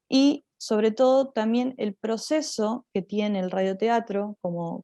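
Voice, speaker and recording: An Argentine woman presents as a drama teacher at a conference. Her voice is mellow and medium in pitch, recorded close and dry. She explains haltingly, doubling back on words. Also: no room sound.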